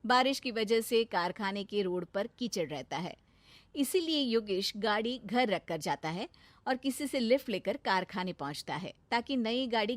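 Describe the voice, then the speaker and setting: A woman's voice: fairly high pitch, no room sound. A woman storyteller reading a scripted moral tale for children.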